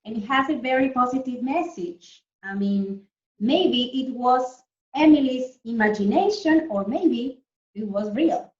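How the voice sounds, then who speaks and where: A woman with a fairly high voice teaches young children in an interactive lesson. She explains in simple terms and keeps asking short check questions. The sound is echoey and somewhat muffled. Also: animated, unhurried, a little mellow. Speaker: primary school teacher leading an online lesson. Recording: echoey room, distant muffled mic.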